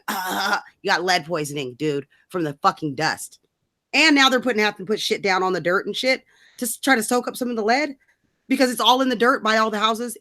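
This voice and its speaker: A woman's voice: medium pitch, pushed and bright, no echo, clean studio sound. A woman ranting casually about politics in a blunt, emphatic, sweary way, as a co-host.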